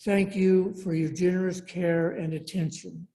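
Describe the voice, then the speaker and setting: Slow, mellow-voiced woman leading worship. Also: fairly low pitch, fairly even intonation. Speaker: worship service leader. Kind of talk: church announcements and a read meditation.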